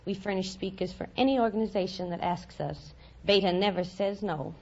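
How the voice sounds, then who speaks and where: A woman's voice: mid-range voice, fairly quick, darkish tone. Earnest American woman on dull vintage radio. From Staten Island, New York. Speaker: quiz show contestant, housewife. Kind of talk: spontaneous interview answers. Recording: dull old radio recording.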